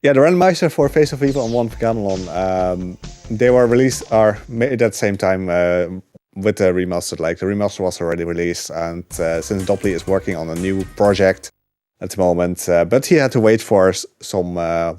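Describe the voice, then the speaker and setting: Low-voiced man casually commentating a game run. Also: a little fast, soft background music. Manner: relaxed, rambling, many filler ums and uhs. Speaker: speedrunner commentating his run.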